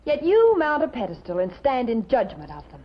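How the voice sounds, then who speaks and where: An American actress playing an icy, sharp-tongued young proofreader in a 1950s television drama, haughty, clipped and lecturing. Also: female voice, fairly high pitch, huge pitch swings, a little fast. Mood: indignant lecturing. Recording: muffled, noisy 1950s television soundtrack.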